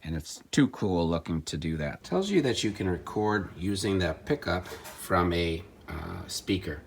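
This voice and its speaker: A man's voice: low-pitched, animated, measured pace, vocal fry, a little hiss or hum. A man talking casually through a hands-on gadget demonstration, musing and thinking aloud. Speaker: vlogger demonstrating and repairing vintage electronics.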